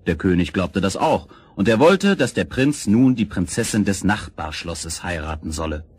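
A man narrating a children's fairy tale as storyteller, with expressive, scripted storybook delivery. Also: low voice, moderate pace, husky, dry recording.

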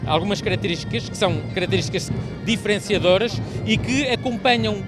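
A man's voice: high voice, fairly quick. A man with a European Portuguese accent, a wine producer explaining his product in an interview. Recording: loud music under the voice.

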